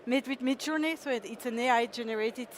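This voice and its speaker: Presenter, woman, higher voice, hesitant with fillers, faint street noise behind. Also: a little fast. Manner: hesitant, frequent fillers, laughs easily. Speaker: company presenter. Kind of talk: startup pitch presentation.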